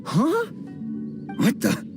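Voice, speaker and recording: An actor playing a shifty, grumpy small-time thief who likes to bargain, in a video game. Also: male voice, drawn-out words. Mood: startled. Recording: music playing behind the voice.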